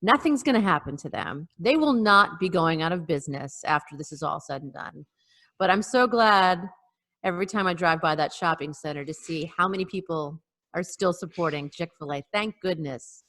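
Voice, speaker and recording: A woman's voice: fairly low pitch, animated, normal speed, fairly bright tone. A middle-aged woman in casual, opinionated panel chat over a video call, with a sardonic way of talking. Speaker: podcast panelist.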